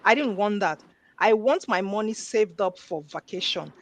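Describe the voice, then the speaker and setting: A woman's voice: medium pitch, expressive, moderate pace, dry recording. A middle-aged woman guest earnestly telling her own story in an interview.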